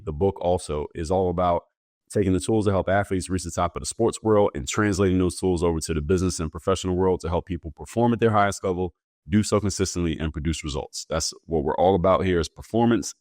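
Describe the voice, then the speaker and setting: Deep-voiced man explaining steadily. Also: a little fast, no echo, studio quality. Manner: steady, explanatory, matter-of-fact. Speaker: performance coach guest. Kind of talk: interview answers.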